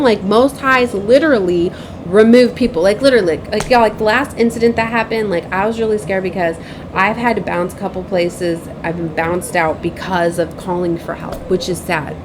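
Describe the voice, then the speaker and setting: A woman's voice: medium pitch, moderate pace, background noise. A woman giving a casual, rambling spiritual vlog, repeating herself, often checking for agreement and acting out bits of remembered dialogue. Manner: rambling, repetitive, frequently seeks agreement with tag questions. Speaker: spiritual advisor and tarot reader, host.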